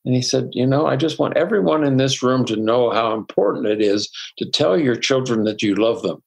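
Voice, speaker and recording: An older man, a psychologist guest, telling personal stories in a reflective, unhurried way with soft laughs. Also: mid-range voice, gravelly, husky. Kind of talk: conversational interview storytelling.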